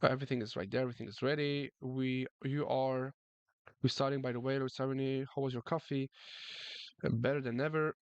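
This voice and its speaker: A man's voice: mid-range voice, steady tone, fairly quick, clear, resonant tone, dry recording, highs cut off. A man chatting casually on a live chess stream, reading the chat and often repeating his words. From France.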